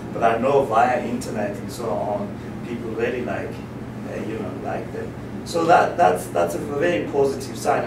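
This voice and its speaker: A filmmaker from Africa, speaking off the cuff on a panel and stumbling through restarts and fillers. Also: male voice, mid-range voice. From South Africa. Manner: hesitant, many restarts and fillers. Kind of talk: unscripted panel talk. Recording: echoey room, distant mic, steady noise.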